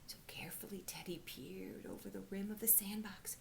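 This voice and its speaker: A woman reading aloud to children like a teacher, expressive, often dropping into breathy, hushed storytelling. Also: medium pitch, a little fast. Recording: faint background noise.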